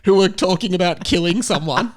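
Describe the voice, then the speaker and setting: Jokey man with a highish voice. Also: brisk. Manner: jokey, giggly. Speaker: podcast guest. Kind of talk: casual comedic chat, commenting on a story.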